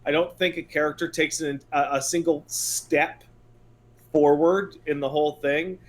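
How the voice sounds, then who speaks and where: A man's voice: fairly high pitch, fairly even intonation, measured pace, a little hiss or hum. A man co-hosting a video game podcast in casual conversation, giving blunt, sarcastic, exasperated opinions.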